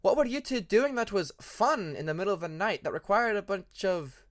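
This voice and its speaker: A haughty young female ice dragon in a fantasy audiobook, acted out by a narrator. The voice sits higher, with brisk, curt, disapproving phrasing. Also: lively intonation, natural voice. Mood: startled suspicion.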